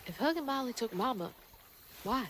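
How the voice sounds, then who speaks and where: Actress as young game heroine, earnest n determined, highish voice, very expressive. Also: female voice, normal speed, slight background noise, natural voice. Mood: worried, questioning. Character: earnest, determined young Southern woman searching for her mother. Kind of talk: video game cutscene dialogue.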